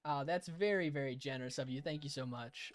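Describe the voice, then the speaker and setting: A hoarse young man live-streaming a game, chatting casually with viewers, chuckling and thanking them. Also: mid-range voice, a little fast, a little hiss or hum. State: hoarse, raspy throat.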